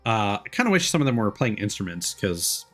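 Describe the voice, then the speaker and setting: A man's voice: on the low side, very animated, a little fast, a bit bright, faint music behind. A man doing casual game commentary as a streamer, with joking asides and swearing. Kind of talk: game let's play commentary, reading dialogue aloud.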